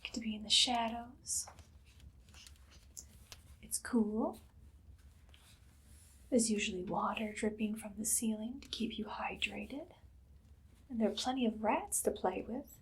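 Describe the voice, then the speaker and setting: A woman playing a cruel, imperious evil queen in an ASMR roleplay, speaking softly and often slipping into a whisper. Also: fairly high pitch, a little slow, pauses often, husky, full character voice. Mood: coolly amused. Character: haughty evil queen, cruel, darkly amused conqueror. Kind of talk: ASMR roleplay monologue, personal attention.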